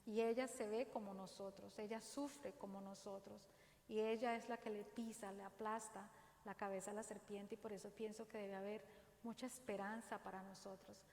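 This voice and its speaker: A woman's voice: mid-range voice, level tone, vocal fry, darkish tone. Earnest Dominican woman in a roomy church. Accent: Dominican Spanish. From Dominican Republic. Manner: earnest, exhorting, slow and deliberate. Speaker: parish speaker. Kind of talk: faith talk in a church setting. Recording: roomy church echo, faint ambience.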